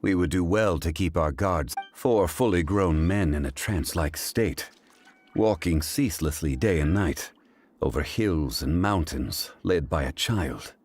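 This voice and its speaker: Deep-voiced, dignified scholarly gentleman. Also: lively intonation, a little hiss or hum. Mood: grave, pensive. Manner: formal, measured phrasing, deliberate. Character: composed, dignified, scholarly man, grave and courteous. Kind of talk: video game character acting.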